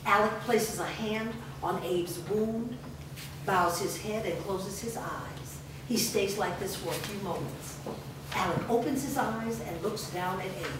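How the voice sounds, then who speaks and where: A woman's voice: medium pitch, measured pace. A woman reading scripted stage directions as narrator at a staged reading. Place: echoey room at a live staged reading. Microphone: distant microphone. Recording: distant, very echoey, noisy room recording.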